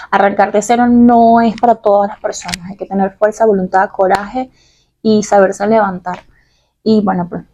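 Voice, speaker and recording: A woman's voice: medium pitch, warm tone. A woman from Venezuela with a Caracas accent, chatting casually and confidingly about herself in a personal vlog, stretching words for emphasis. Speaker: vlogger answering personal questions.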